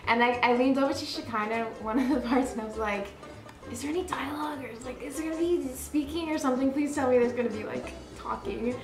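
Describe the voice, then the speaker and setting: Rambling, higher-voiced woman in echoey room. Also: fast talker, over music. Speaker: storyteller recounting a personal anecdote. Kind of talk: casual, conversational anecdote.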